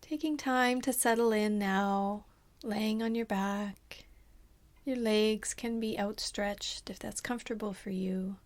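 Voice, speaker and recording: Soft, unhurried woman guiding meditation. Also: medium pitch. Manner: calm, soothing, unhurried with long pauses. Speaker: meditation teacher. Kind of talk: guided body scan meditation.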